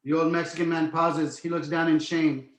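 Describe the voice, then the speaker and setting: Guy reading script directions, slightly high voice, roomy echo. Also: fairly even intonation, moderate pace. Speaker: stage-direction narrator. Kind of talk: scripted narration of screenplay. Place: indoor room on virtual call. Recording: echoey room.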